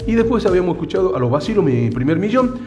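A man's voice: high voice, big pitch swings, very fast, a little mellow, faint music behind. A man hosting a casual local radio show, stuffy with a cold and rambling, repeating himself as he chats. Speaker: radio host.

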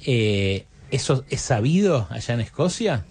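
A man's voice: mid-range voice, sing-song, measured pace, darkish tone, husky. A man hosting a casual streamed interview with an Argentine accent, chatty and jokey, with many fillers. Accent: Argentine (Rioplatense). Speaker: host.

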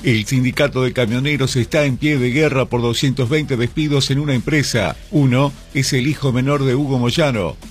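A man's voice: medium pitch, moderate pace. A man with an Argentine accent reading scripted radio news as a presenter. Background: music bed.

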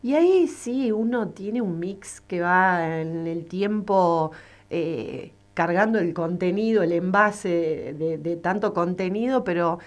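Radio host, woman, slow and unhurried, slightly low voice. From Catamarca, Argentina. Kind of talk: radio interview.

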